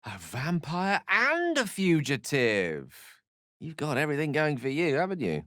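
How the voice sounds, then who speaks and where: A man's voice: on the high side, huge pitch swings, unhurried, fairly bright tone, no room sound, studio quality, exaggerated voice. An actor voicing a learned, witty, self-assured male wizard in a video game, with a wry, theatrical and bookish way of speaking. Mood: wry, teasing. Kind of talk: video game character voice acting.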